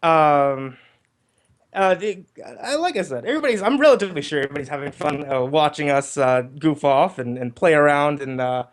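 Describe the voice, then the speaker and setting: A man's voice: on the high side, animated, brisk. A man hosting a livestream in casual group chat, with hesitant, rambling explanations. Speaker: livestream host.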